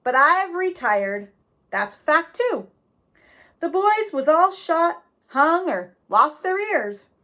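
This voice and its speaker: Brief: jovial backwoods outlaw man, put on by a woman reader, drawling, lively pitch. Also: slow pace, heavily acted. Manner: drawling backwoods grammar, chuckling. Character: big folksy reformed outlaw man, jovial.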